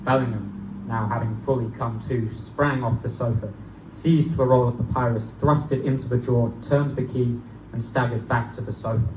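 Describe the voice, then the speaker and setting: A man's voice: medium pitch, fairly even intonation, normal speed, noisy background, lightly acted. A man playing a young Victorian medical student who narrates a gothic horror tale in formal, old-fashioned language before a live audience. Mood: grave, fascinated. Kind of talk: live dramatic reading of gothic pulp horror. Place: live performance in a bookstore. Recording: echoey distant live room recording, loud guitar.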